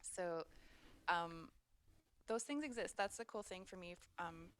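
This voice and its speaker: Quiet woman from canada, lowish smooth voice, relaxed rambling, video call. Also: unhurried. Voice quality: quiet, soft-spoken. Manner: relaxed, rambling, lots of fillers. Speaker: musician guest. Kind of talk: casual interview chat.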